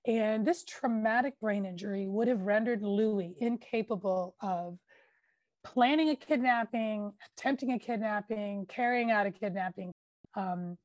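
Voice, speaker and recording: A woman's voice: on the high side, a little mellow, no room sound. A woman hosting a podcast, casually retelling a true-crime case with emphatic, filler-heavy talk. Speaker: podcast host.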